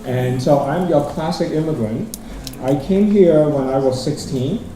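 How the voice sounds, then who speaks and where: A man's voice: fairly high pitch, measured pace, recorded from a distance, noisy background. Man from Hong Kong, echoey hall. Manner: rambling, self-deprecating, restarts often. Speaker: guest speaker. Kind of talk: conference talk. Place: large lecture hall.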